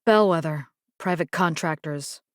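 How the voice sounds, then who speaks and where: Sassy Puerto Rican action heroine, close dry sound. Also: female voice, low voice, unhurried, no echo. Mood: focused. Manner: sassy, slangy, sprinkles in Spanish words. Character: tough, wisecracking young woman engineer fighting vampires. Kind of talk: video game character voice lines.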